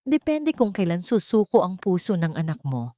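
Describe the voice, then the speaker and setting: A woman narrator plays a cold, wealthy male boss in a radio drama. The voice is measured and controlled, calculating and businesslike, in a low register with a dry, close sound. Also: very animated, mellow, no room sound.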